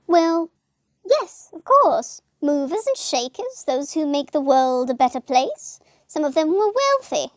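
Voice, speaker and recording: This is a woman's portrayal of a naive little angel of low rank in a comic puppet show. The voice sits high and moves about in animated leaps, close and dry. It is prim and earnest, easily flustered into indignation. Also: measured pace, no room sound.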